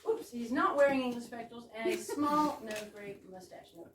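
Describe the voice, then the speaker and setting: A woman's voice: fairly high pitch, lively intonation, fairly quick. A woman director presenting a play to an audience and reading its stage directions aloud. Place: indoor performance space with audience. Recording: echoey room from far off, faint noise.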